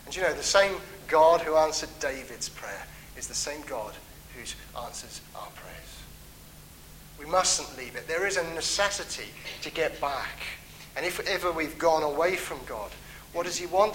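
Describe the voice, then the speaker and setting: Male preacher, earnest and pleading, bright forceful tone, faint hum behind. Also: on the high side, low-quality recording. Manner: earnest, pleading, with emphatic rises and soft trailing endings. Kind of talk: sermon on a psalm.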